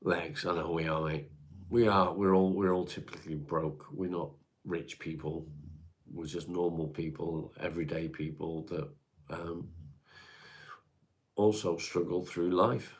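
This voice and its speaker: A man's voice: low-pitched, steady tone, unhurried. A man with a stuffy, congested voice, chatting casually on a livestream and answering viewers' comments, calling them mate. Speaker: livestream host reading chat.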